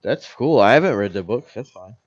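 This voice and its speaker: A man in his late thirties co-hosting a casual chat. He talks haltingly, with many "um"s and restarts. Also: fairly low pitch, animated, highs cut off. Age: 30s. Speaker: podcast co-host.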